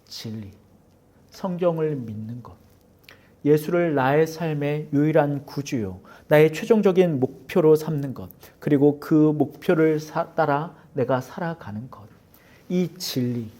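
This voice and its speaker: A male pastor preaching in a church with a warm, mellow voice. His pitch swings widely, rising for emphasis and falling low for hushed asides. He acts out dialogue and drops to near whispers. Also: expressive, a little slow. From Seoul. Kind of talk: sermon. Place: church sanctuary.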